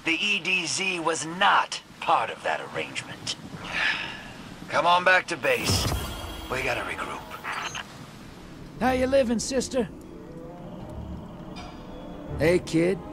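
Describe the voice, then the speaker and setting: An actor voicing a shady, streetwise rogue and survivor in a video game, with slangy, conspiratorial swagger. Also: male voice, a little high, huge pitch swings, unhurried, long pauses, over music, heavily acted. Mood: brisk, conspiratorial. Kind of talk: video game character dialogue.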